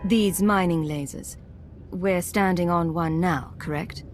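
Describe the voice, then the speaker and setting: Actress doing cool female agent in charge, lowish voice, controlled, game cutscene with music behind. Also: a little slow, close to an everyday voice. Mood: cool, decisive. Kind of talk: video game cutscene acting.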